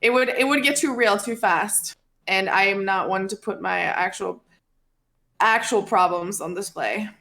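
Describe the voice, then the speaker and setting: Creaky-voiced young woman, native Norwegian speaker. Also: medium pitch, unhurried, bright, forceful tone. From Norway. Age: 20s. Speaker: podcast co-host, streamer. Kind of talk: casual chat.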